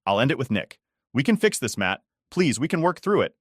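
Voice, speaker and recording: A man's voice: medium pitch, big pitch swings, brisk, husky, no echo, clean studio sound, pitched above the performer's own voice. A man doing a cornered, pleading wife. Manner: tearful, pleading. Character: young adult wife, cornered, defensive then pleading.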